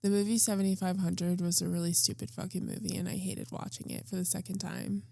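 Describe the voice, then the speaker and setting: A woman's voice: on the low side, flat delivery, fast talker, creaky, a little mellow, dry recording. A woman co-hosting a live comedy show in casual chat, with blunt, dry remarks.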